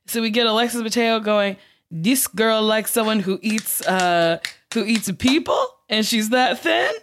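A woman's voice: a little high, a little slow. Woman with a scratchy voice chatting casually. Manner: loose, joking, swearing. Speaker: podcast guest. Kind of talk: casual chat.

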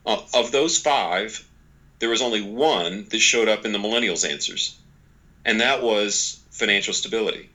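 A man's voice: lowish voice, normal speed, pushed and bright, poor audio. A man in his forties speaking as a podcast guest, explaining ideas conversationally in a folksy, emphatic way with repetition and quoted asides. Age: 40s. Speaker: podcast guest, author and organization leader.

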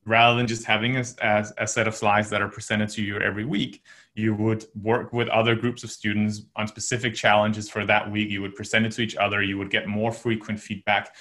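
A man's voice: fairly low pitch, little pitch movement, fairly quick, bright, forceful tone. A man from Denmark, a company founder, giving earnest, explanatory answers as a podcast guest. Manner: earnest, explanatory, some restarts.

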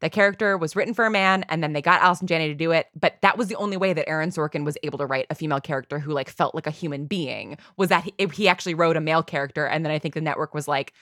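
A woman's voice: sits low, rapid-fire, hardly any pauses, a bit bright, no room sound, studio quality. A woman in a casual, opinionated discussion, speaking emphatically and informally with plenty of "like". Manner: emphatic, informal, peppers speech with "like". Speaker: podcast guest, audio drama writer.

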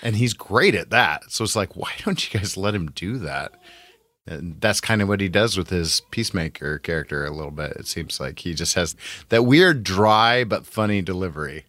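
Man with a bright, punchy low voice. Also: animated, normal speed, no room sound. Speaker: podcast co-host. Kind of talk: casual pop-culture chat.

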